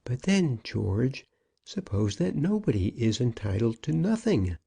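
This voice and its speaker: Man acting out a plaintive old shopkeeper, pitched up. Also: big pitch swings, a little slow, vocal fry, dark tone, breathy edge, exaggerated voice. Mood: pleading, defensive. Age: older. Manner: piteous, wheedling. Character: old senior shopkeeper, evasive, plaintive, pleading. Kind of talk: audiobook character acting.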